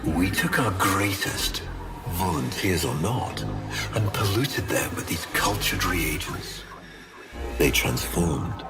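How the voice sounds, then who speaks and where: A haughty, vengeful leader from a sci-fi game with a very low voice. He speaks slowly and grandly, swinging between dramatic pauses and weighty pronouncements. Loud music plays behind him. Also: lively intonation. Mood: grim, ominous. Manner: deliberate, grandiose, theatrical pauses. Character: haughty, vengeful male war leader making a grim confession.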